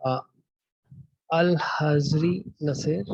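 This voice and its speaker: A man's voice: on the high side, steady tone, slow pace, creaky, a little mellow, no echo. A man hosting an online training session, politely calling on participants and moderating their introductions in an informal way. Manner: polite, addresses people as sir and ma'am. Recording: dull online meeting audio.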